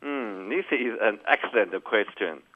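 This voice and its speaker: Executive, English, earnest and measured, lowish voice over a phone-thin line. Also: male voice, lively intonation. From Taiwan. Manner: careful non-native English, measured and earnest. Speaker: company executive guest. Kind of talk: business interview answers. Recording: phone-like narrow band.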